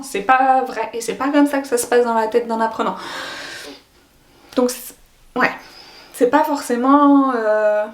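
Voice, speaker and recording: A young woman language teacher explaining her views to camera, thoughtful, pausing often on fillers. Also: sits high, roomy sound. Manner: thoughtful, hesitant with frequent fillers. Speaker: French as a foreign language teacher.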